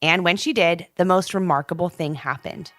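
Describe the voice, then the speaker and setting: A woman's voice: on the low side, moderate pace, fairly bright tone, no room sound, studio quality. A woman narrating a scripted children's story expressively, with dramatic emphasis. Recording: music under the voice. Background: music.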